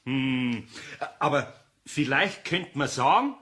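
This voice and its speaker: A man's voice: mid-range voice, slow. A man playing a good-natured older master carpenter in a German children's radio play, with a folksy Bavarian accent and an easily amused manner. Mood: patient, amused. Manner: folksy, animated, chuckling speech with interjections.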